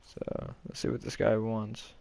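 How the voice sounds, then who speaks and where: A man doing casual walkthrough commentary for a game video, talking in a halting, low-key way. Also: monotone, creaky, dark tone. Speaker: gaming video creator.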